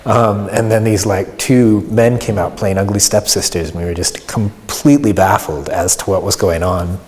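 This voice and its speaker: Deep-voiced American man in a reverberant hall. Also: fairly even intonation, normal speed, darkish tone. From United States. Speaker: community theatre participant. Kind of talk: reflective interview talk.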